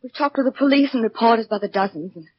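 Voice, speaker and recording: An actress playing a defensive, impatient young heiress with clipped politeness in a 1940s radio drama. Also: female voice, on the high side, fast, slightly rough. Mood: irritated. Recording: muffled, noisy 1940s radio recording.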